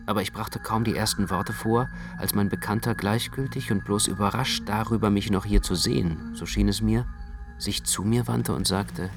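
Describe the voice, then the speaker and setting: A man's voice: lowish voice, moderate pace, creaky, over music. A man narrating a radio play in the first person as an anxious, brooding literary storyteller.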